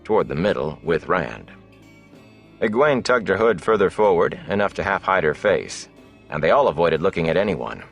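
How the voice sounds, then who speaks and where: Low-voiced male fantasy audiobook narrator. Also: sing-song, normal speed, gravelly, a bit bright, faint music behind.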